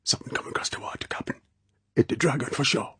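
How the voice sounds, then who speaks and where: A man's voice: fairly low pitch, fairly even intonation, moderate pace, darkish tone, slightly rough. A man voicing a big, superstitious, loyal islander in an audiobook, whispering urgently in non-standard grammar.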